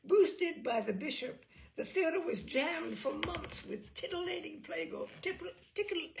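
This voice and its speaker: A woman reads a comic memoir aloud with a wry, amused delivery. Her voice has a strong gravelly fry, and her pitch rises and falls in lively swoops. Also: measured pace, slight background noise. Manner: wry, playful, amused delivery with occasional slips and laughs. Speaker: reader of humorous essays. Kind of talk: expressive reading of a comic memoir.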